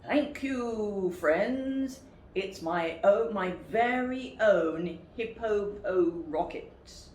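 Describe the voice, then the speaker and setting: A woman's voice: medium pitch, lively intonation, drawn-out words, darkish tone. A woman voicing a bossy female hippo with big dreams, declaiming grandly in a storybook reading. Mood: commanding. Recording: roomy, distant, noisy recording.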